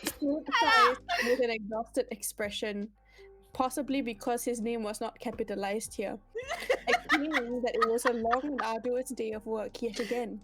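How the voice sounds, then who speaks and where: A woman's voice: on the high side, moderate pace, pushed and bright. A giggly woman reading a story comically on a stream, breaking off to make asides. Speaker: streamer reading fan fiction aloud.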